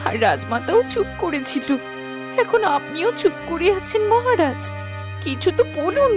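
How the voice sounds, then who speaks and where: Woman as a high-voiced, imploring queen. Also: sing-song, a little slow, music in the background, heavily acted. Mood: desperate. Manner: urgent, imploring. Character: distraught, pleading young queen desperate for her child. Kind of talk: melodramatic cartoon fairy-tale voice acting.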